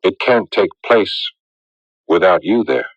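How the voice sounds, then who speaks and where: An actor playing an earnest, conflicted fiancé in a radio drama, speaking gravely and haltingly. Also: male voice, low voice, no room sound, exaggerated voice. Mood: tender. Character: earnest, conflicted fiancé named Stan, gentle and solemn. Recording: dull lo-fi old radio recording.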